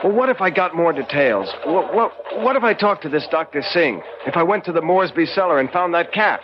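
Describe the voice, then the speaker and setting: Actor as an earnest, higher-voiced male radio-drama reporter. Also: expressive, brisk, close to an everyday voice. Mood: earnest, eager. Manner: eager, insistent. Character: earnest, excitable male newspaper reporter pitching a story. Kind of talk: 1970s radio drama acting. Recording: noisy muffled 1970s radio recording.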